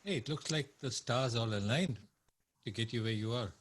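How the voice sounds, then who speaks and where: A man interviewing a guest, speaking softly and haltingly with restarts. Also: medium pitch, slight background noise. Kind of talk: interview conversation.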